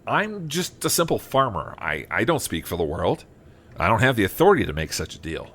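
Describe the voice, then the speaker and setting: A man's voice: lowish voice, wildly swooping pitch, brisk, a bit bright, breathy edge, exaggerated voice. A man playing a humble, plain-spoken farmer from Iowa in an audio drama, a little hesitant and folksy. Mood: hesitant, concerned. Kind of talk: audio drama acting and first-person narration.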